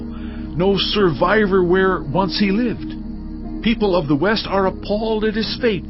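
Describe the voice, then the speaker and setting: An actor playing a stern biblical accuser who pronounces doom on the wicked in a grave, declamatory, ominous way, for a dramatized audio Bible. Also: male voice, sits high. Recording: slightly dull sound under clear background music. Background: music.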